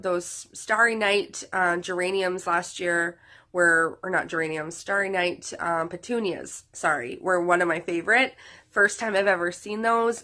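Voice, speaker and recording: A woman's voice: fairly low pitch, sharp tone. A woman in her thirties, sick and losing her voice, sounds nasal as she chats casually to her viewers, rambling with many fillers and restarts. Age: 30s. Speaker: vlogger.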